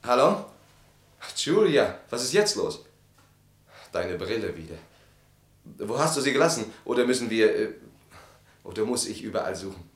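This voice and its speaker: An actor playing an uneasy, civil husband in a German radio drama, with a hesitant, politely formal way of talking. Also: male voice, on the low side, sing-song, normal speed, long pauses, reverberant. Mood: flustered.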